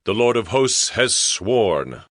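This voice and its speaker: A man's voice: fairly low pitch, big pitch swings, takes it slowly, a bit bright, dry recording, studio quality. A man narrating scripture dramatically, with solemn, emphatic, declamatory delivery.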